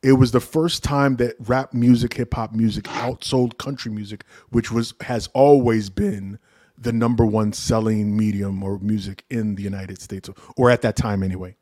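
A man's voice: fairly low pitch, steady tone, moderate pace, dark tone, dry recording. Dry-voiced man in his forties hosting. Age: 40s. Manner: playful, profane mock outrage. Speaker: podcast host. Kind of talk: casual podcast chat. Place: at home.

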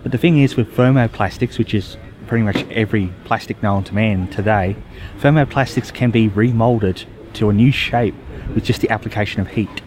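Man, low voice, earnest and musing, lots of ums, noisy background. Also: normal speed. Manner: earnest, musing, with many fillers. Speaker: guest futurist. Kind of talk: interview answers.

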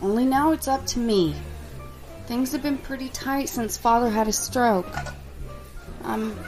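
A woman's voice: a little high, a little slow, darkish tone, faint music behind, natural voice, low-quality recording. A woman playing an anxious, evasive fiancée in an audio drama, speaking hesitantly and guardedly. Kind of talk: scripted audio drama acting.

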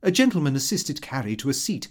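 A man's voice: medium pitch, big pitch swings, fast. A man narrating a comic diary as an audiobook reader, expressively, with a theatrical air of indignant propriety.

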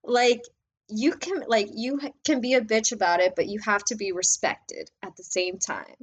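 A young adult woman with a loud voice, talking as a guest in a casual interview about working as an assistant director, full of "like"s and restarts. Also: mid-range voice, normal speed. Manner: animated, filler-heavy, many "like"s.

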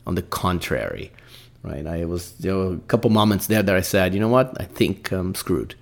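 Gravelly low Brazilian-accented man. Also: lively intonation, moderate pace. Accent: Brazilian Portuguese. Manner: rambling, frequent fillers and "right?" tags. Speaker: podcast host. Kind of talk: casual solo podcast monologue.

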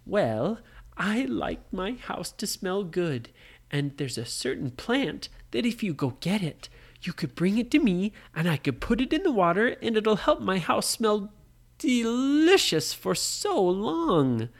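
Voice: mid-range voice, big pitch swings, a little slow, pitched above the performer's own voice. A storyteller voicing a kindly, fussy old woman who lives alone, pleading for help in a bedtime story.

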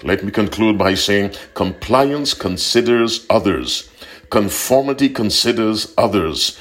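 A man delivers a short broadcast sermon in a very deep voice. His delivery swings widely in pitch, earnest and deliberate, and he repeats his key points for emphasis. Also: animated, fairly bright tone. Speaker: preacher.

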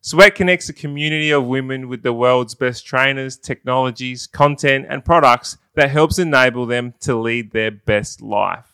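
A man hosting a podcast, reading an upbeat intro and then asking relaxed interview questions. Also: medium pitch, normal speed, few pauses, clean tone, dry recording, clean studio sound. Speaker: podcast host.

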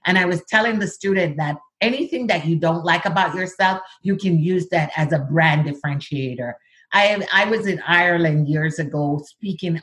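Jamaican woman guest in her fifties, low-pitched, measured storytelling over a call. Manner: measured, sometimes overpronounces words. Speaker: guest, diversity executive. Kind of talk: interview conversation.

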